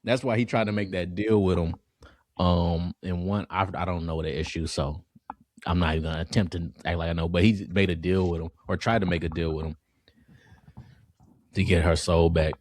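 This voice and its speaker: A man's voice: low voice, fairly even intonation, moderate pace, a little mellow, dry recording. A man co-hosting a podcast, chatting casually about pop culture and explaining things in informal slang. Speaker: podcast co-host.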